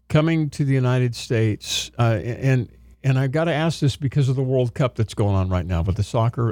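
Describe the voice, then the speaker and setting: A man's voice: mid-range voice, mellow, no room sound. An upbeat, chatty man from Oklahoma, hosting a casual interview and rambling through his questions. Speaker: radio host.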